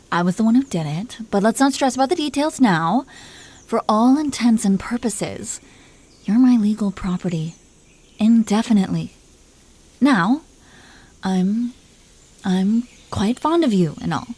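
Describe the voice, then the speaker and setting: A woman's voice: medium pitch, mellow. A woman playing an imperious, possessive dragon queen who teases her husband with condescending affection in an audio roleplay. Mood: condescending fondness. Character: haughty, teasing, possessive dragon queen and doting wife. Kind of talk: scripted roleplay monologue spoken to the listener.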